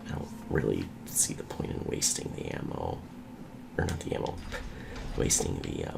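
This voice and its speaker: A young man giving soft, rambling, half-whispered game commentary, nasal from a cold. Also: very deep voice, creaky. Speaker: let's play host. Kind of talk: whispered ASMR gameplay commentary. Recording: loud background hiss.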